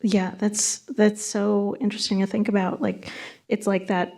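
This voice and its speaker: A woman's voice: mid-range voice, little pitch movement, moderate pace, gravelly, warm tone. A woman interviewing an author at a bookstore event, asking thoughtful questions while searching for her words.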